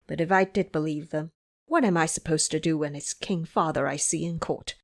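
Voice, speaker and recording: A woman's voice: sits low, steady tone, moderate pace, pitched below the performer's own voice. A woman voicing a proud, suspicious young crown prince in an audio drama, with a commanding manner. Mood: torn. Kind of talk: audio drama character acting.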